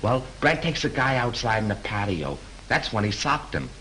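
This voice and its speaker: An actor playing a chatty young hotel bellhop who tells a story in breezy slang, in 1930s film acting. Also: male voice, medium pitch, very animated, moderate pace, pushed and bright, poor audio. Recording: hissy 1930s film soundtrack.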